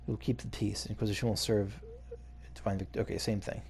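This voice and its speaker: A man's voice: fairly low pitch, flat delivery, a little fast, creaky, darkish tone, a little hiss or hum. A man giving casual video game playthrough commentary, hesitantly thinking aloud as he goes. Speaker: gaming video host.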